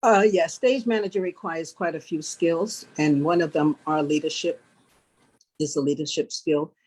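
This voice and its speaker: A woman with a deep voice speaks as an interview guest. Her answers are casual and plainspoken, laid out in a measured way. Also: fairly even intonation, a little mellow, breathy edge, rough recording. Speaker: interview guest, stage manager.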